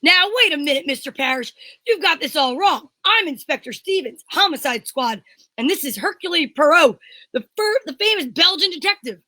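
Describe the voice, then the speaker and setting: A woman's voice: high-pitched, lively intonation, normal speed, pushed and bright. A woman playing a bossy, fussy police inspector who gives scolding, emphatic orders in an amateur old-time radio mystery. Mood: stern, protesting.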